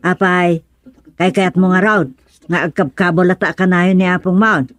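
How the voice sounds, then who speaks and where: A woman's voice: fairly low pitch, steady tone, normal speed, fairly bright tone, no room sound, close to an everyday voice. A woman playing an inquisitive village woman in a comic radio serial, conversational and questioning. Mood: questioning, concerned. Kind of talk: comedic radio serial acting.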